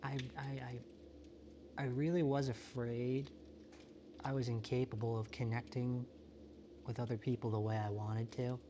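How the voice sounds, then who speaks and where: A man playing a solitary, introspective apocalypse survivor in a found-footage monologue, talking in a halting, self-questioning, confessional way. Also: medium pitch, flat delivery, lo-fi sound, noisy background, close to an everyday voice. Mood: weary, reflective. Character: solitary, world-weary, introspective male survivor of a quiet apocalypse.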